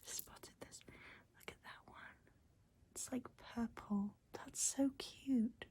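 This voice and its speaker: A woman hosting an ASMR video, chatting in a gentle, unhurried whisper and softly repeating words. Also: a little high, fairly even intonation. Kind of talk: whispered ASMR, chatting while sorting buttons.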